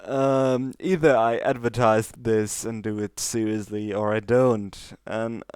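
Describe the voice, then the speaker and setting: A man's voice: fairly low pitch, steady tone, slow, darkish tone, smooth, dry recording, clean studio sound. A man reading fan fiction as an amateur narrator, moving between casual talk and storytelling.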